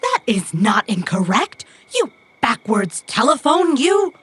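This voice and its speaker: An earnest, literal-minded young female runner from a cyberpunk audio drama. She speaks slowly and a little huskily, in stilted, formal sentences without contractions. There is a childlike stubbornness in her careful, precise wording. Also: fairly high pitch, sing-song, fairly bright tone, slightly rough, full character voice. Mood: indignant.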